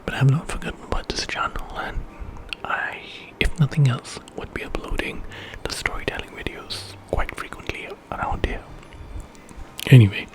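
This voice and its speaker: A man telling an ASMR story in a hushed, unhurried whisper with pauses. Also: mid-range voice, rough recording. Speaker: ASMR storyteller, channel host.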